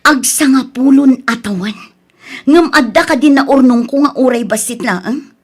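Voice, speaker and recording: A woman's voice: high-pitched, normal speed, breathy edge. A woman with an Ilocano accent playing an exasperated, overburdened daughter and elder sister in an audio drama. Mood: exasperated scolding. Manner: exasperated, reproachful. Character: overburdened, frustrated dutiful daughter and elder sister, about thirty. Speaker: drama actress.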